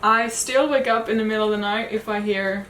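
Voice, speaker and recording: A woman's voice: mid-range voice, fairly even intonation, brisk, a bit bright, roomy sound, slight background noise, poor audio. A woman from Sweden with a Swedish accent, co-hosting a sailing chat, speaking candidly with small hesitations. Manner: thoughtful, candid, light hesitations. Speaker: sailing vlog co-host. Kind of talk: casual Q&A chat.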